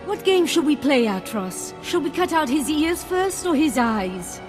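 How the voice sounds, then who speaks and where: A woman's voice: high voice, big pitch swings, a little slow, music in the background. A woman voicing a possessed female Jedi master who taunts with cruel, singsong glee in a video game. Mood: taunting.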